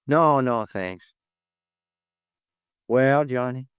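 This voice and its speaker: An actor playing a streetwise gambling friend in a 1950s American radio drama, slangy and teasing. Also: male voice, medium pitch, very slow, smooth, dry recording, muffled recording. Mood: easygoing.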